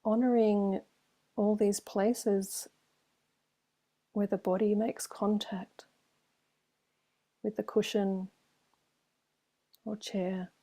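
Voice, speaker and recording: A woman leads a guided meditation as a teacher. Her voice is dark and relaxed, with very little rise and fall. She speaks gently and soothingly, leaving long silences between short phrases. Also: medium pitch. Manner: slow, gentle, soothing delivery with long pauses.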